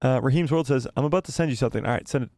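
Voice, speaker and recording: A man hosting a live stream, chatting casually and reading out viewer comments. His voice is going hoarse and he rambles and repeats himself as he thinks aloud. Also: medium pitch, racing, darkish tone, no echo, studio quality. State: losing his voice, coughing. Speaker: livestream host.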